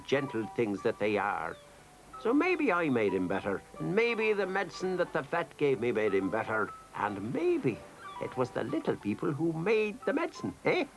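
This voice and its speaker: A man's voice: on the high side, full character voice, rough recording. An actor playing a kindly, teasing old Irishman with an Irish accent who keeps a donkey and spins tall tales for children, in a children's drama. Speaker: storyteller character.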